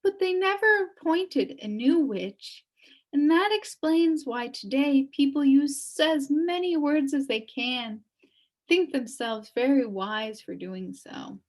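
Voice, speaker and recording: A woman's voice: high voice, animated, takes it slowly. A woman voicing a sad, wistful elderly woman recounting her downfall in a storybook. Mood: sad, resigned. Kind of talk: storybook character reading.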